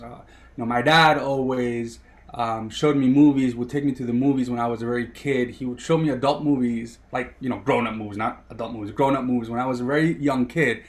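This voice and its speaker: Man, thirties, from Miami, interview guest, eager, rambling, medium pitch. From Miami, USA. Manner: eager, rambling, heavy on "you know" fillers. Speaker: guest, sports producer. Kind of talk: casual interview storytelling.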